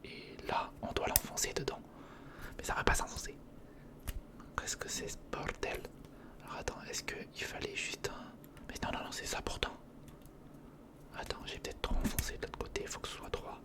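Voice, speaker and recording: A man speaks in a breathy whisper close to the microphone, murmuring casual hands-on ASMR commentary in fragments, as if thinking aloud. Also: normal speed, pauses often. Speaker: ASMR video creator. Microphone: close recorder microphone.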